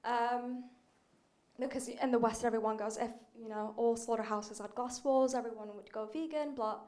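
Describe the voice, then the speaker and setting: A woman's voice: on the high side, fairly even intonation, moderate pace, darkish tone. Chatty young woman from Turkey. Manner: chatty, with frequent "um" and laughter. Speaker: audience member asking a question. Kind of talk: audience question.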